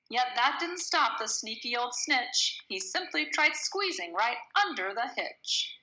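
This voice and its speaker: A woman reads a children's rhyming story with dramatic, sing-song expression. Her voice sits somewhat high and moves around a great deal in pitch. There is clear background noise on the recording. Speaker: storybook reader. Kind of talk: expressive read-aloud of a rhyming children's picture book.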